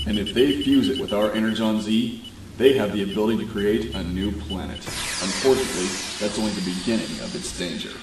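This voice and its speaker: A man's voice: lowish voice, brisk, heavy background noise, natural voice. A man voicing a grave, authoritative robot war leader in an anime fan dub. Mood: grave, urgent. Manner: solemn, authoritative. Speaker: voice actor in an amateur fan dub. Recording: echoey distant sound over music.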